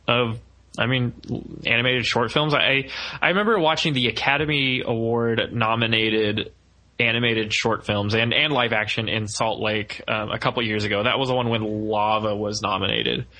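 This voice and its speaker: A man's voice: mid-range voice, steady tone, moderate pace, pushed and bright, low-quality recording. A young man co-hosting a podcast, talking casually about films and thinking aloud as he goes. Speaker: podcast co-host, animation student. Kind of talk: casual film review chat.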